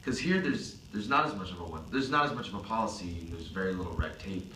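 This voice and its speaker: Young man, casual student, played by an actor, deep voice, interview drama, distant mic with noticeable noise. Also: lively intonation, fast talker, sharp tone, reverberant. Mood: musing. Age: young adult. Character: laid-back male college student who drinks.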